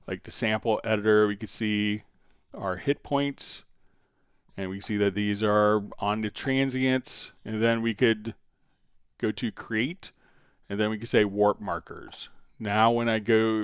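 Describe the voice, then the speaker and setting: A man hosting a casual live software tutorial, talking haltingly as he thinks aloud while demonstrating. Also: lowish voice, clear, resonant tone, no room sound, studio quality. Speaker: livestream host, software instructor.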